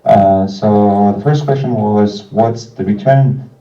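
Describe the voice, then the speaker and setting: A man in his thirties speaks in a very dark, low voice. He gives a prepared talk haltingly, pausing often. The recording is echoey and distant. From France. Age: 30s. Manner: hesitant, halting delivery with frequent pauses. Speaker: non-profit organization founder and representative. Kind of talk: informal prepared talk by video message. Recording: muffled, distant, echoey video playback.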